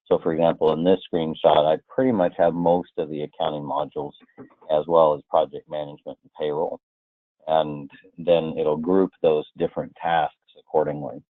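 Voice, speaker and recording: A man presenting a software training webinar, explaining slide by slide in a conversational way with hypothetical examples. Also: rumbling low, level tone, normal speed, no room sound. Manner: explanatory, illustrates with hypothetical quotes. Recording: muffled, tinny phone-like line.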